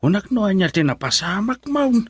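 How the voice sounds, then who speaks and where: A man's voice: high-pitched, big pitch swings, normal speed, breathy edge, dry recording, studio quality, full character voice. An actor playing an anxious old grandfather in an Ilocano radio drama, with a Filipino accent. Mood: worried. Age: older.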